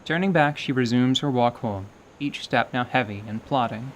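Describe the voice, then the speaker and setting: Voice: medium pitch, normal speed, a little hiss or hum, poor audio. A narrator reading scripted story prose for an audio drama. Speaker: narrator and voice actor.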